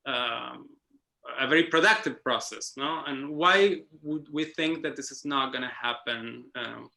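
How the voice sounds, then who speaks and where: A man's voice: medium pitch, a little slow, gravelly, pushed and bright. A man speaking in an academic round-table discussion, thinking aloud with frequent restarts and a questioning "no?" tag. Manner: hesitant, many restarts and tag "no?". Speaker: guest speaker. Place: online round-table call.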